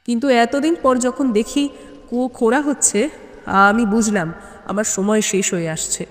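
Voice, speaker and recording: A man's voice: extremely high pitch, steady tone. A woman voicing a frail, fearful and remorseful old man in an audio story, with a trembling voice and stammered repeats. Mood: guilty confession. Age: older. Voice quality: trembling, halting voice. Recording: long hall reverb.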